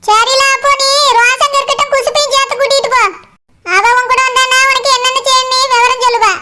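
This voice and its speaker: A very high, squeaky cartoon village mother, acted by a woman, rattling along at a quick pace. She is good-natured and chatty, forever organizing the family in a Kanyakumari Tamil accent. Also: fairly even intonation, sharp tone. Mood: busy, instructing. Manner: chatty, instructing. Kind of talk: village cartoon drama acting.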